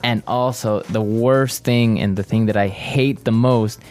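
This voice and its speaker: A man co-hosting an English lesson podcast, chatting and repeating phrases slowly and clearly for learners. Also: fairly low pitch, fairly even intonation, a little mellow, soft background music. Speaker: podcast co-host.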